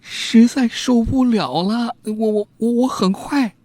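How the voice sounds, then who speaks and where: A man's voice: very high voice, slow pace, dark tone, no echo, pitched above the performer's own voice. A man storyteller voicing a desperate young village wife, urgent and stammering as she pleads.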